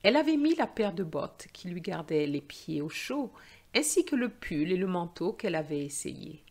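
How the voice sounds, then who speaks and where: A woman narrating a scripted story for language learners in a deliberate, carefully phrased and expressive way. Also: lowish voice.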